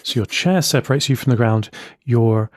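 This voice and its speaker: A mid-pitched man explains things in casual podcast chat, speeding up in bursts. His voice is recorded close and dry, with no room sound. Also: no room sound. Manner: explanatory, quick bursts, laughs easily. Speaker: co-host.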